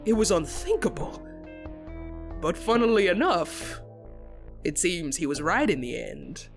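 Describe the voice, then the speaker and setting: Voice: medium pitch, sing-song, unhurried, gravelly, faint music behind. An actor voicing a frightened clawed monster, stammering and theatrical as it recounts a horror tale. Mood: haunted storytelling. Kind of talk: dramatic comic-dub storytelling and character acting.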